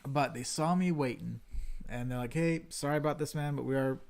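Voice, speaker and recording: A man's voice: mid-range voice, brisk, gravelly, darkish tone. A man co-hosting a casual livestream chat, telling a long story in a rambling, self-deprecating way. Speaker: stream co-host. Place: home livestream setup.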